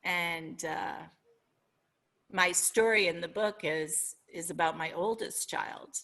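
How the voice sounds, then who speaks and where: Middle-aged Minnesotan woman, podcast guest, bright voice, reflective and sincere. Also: on the low side, slow pace. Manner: earnest, reflective, searching for words. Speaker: guest author. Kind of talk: podcast interview.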